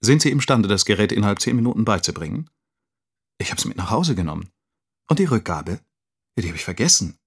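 A man's voice: on the low side, sing-song, fairly quick, long pauses, breathy edge, full character voice. A man playing a dry, observant schoolteacher who recounts events matter-of-factly, quoting dialogue. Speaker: actor in a radio drama. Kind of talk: dramatic past-tense narration with quoted dialogue.

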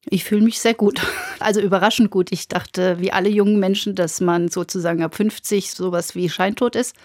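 A woman in her sixties, an author, telling personal stories in a relaxed, lightly ironic way. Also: mid-range voice, fairly quick, no room sound, studio quality. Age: 60s. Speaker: author, interviewee. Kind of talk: personal anecdote.

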